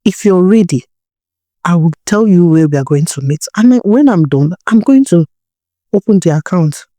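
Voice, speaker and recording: A woman narrator puts on an arrogant Nigerian businessman in a folktale. He is rich, mocking and condescending, with a mellow tone in a dry recording. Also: lowish voice, lively intonation, moderate pace, no room sound, close to an everyday voice. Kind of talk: storybook character dialogue.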